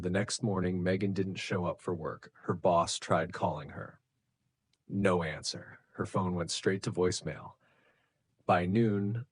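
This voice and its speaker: A man narrating a scripted true crime story in a measured, suspenseful way, with short deliberate sentences. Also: low voice, breathy edge, dry recording. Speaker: narrator of true crime stories for English learners.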